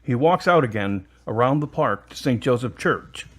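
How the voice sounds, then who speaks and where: A man's voice: medium pitch, expressive, moderate pace. A man narrating a scripted story as the narrator of an audio drama.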